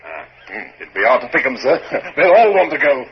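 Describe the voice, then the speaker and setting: A man's voice: mid-range voice, big pitch swings, brisk, close to an everyday voice. An actor playing an eager, deferential sailor in a 1950s radio drama, hesitant and stammering before his captain. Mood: amused, deferential. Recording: noisy muffled old radio recording.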